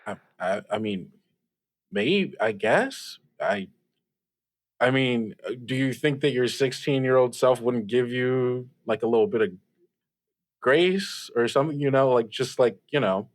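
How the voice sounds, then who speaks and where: A man playing a young, supportive student host of a radio call-in show, talking casually and haltingly in an improvised audio drama. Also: mid-range voice, lively intonation, natural voice. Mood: hesitant, reassuring. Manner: halting, casual, chuckling, reassuring.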